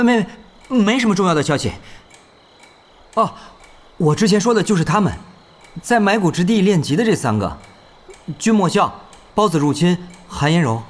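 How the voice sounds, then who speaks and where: A man's voice: high voice, animated, a little slow, long pauses, slight background noise. An actor playing a nervous young guild leader who stammers in front of his idol. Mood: flustered. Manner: flustered, stammering.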